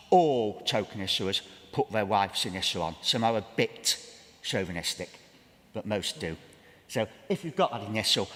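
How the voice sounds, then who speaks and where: A man's voice: mid-range voice, fairly bright tone. A middle-aged man with an English accent giving a chatty, self-deprecating public history talk with slides, with frequent ums, in a large church. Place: large church with a seated audience. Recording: long echoing church reverb.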